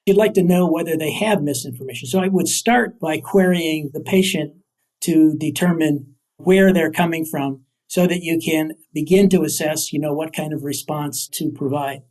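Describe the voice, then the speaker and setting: A man answering as an academic interview guest, building long, careful sentences with deliberate, hesitant phrasing. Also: fairly high pitch, a little mellow, rough recording.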